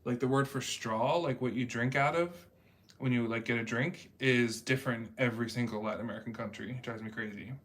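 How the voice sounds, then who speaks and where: A man's voice: mid-range voice, brisk, fairly bright tone. Chatty rambling man host. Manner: rambling and chatty, many fillers. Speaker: livestream host reading chat. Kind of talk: casual chat.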